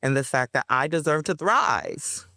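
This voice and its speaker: A woman being interviewed as a playwright, talking in a chatty, animated way, with frequent fillers and bits of laughter. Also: low voice, a little slow, no echo, studio quality. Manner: animated, chatty, addresses listeners as y'all. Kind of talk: casual interview conversation.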